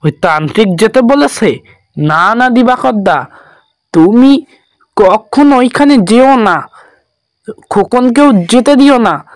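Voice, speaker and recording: A man doing a frantic young villager begging folks to stop, high voice. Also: lively intonation, slow, long pauses, no room sound, heavily acted. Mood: alarmed. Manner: urgent, pleading. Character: worried young village man warning neighbours.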